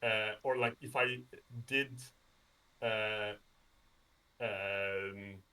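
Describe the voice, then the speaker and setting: Guy from norway just chatting as a guest, bright voice, kinda far from the mic, rambly and self deprecating. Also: fairly low pitch, fairly even intonation, drawn-out words, clear, resonant tone. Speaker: interview guest, game developer. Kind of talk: casual conversation.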